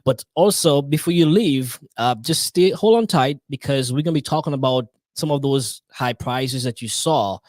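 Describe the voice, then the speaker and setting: A man's voice: medium pitch, normal speed, few pauses, darkish tone, dry recording. A man hosting a live podcast, talking with frequent restarts and stammers. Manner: many restarts and fillers, stammers. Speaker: podcast host.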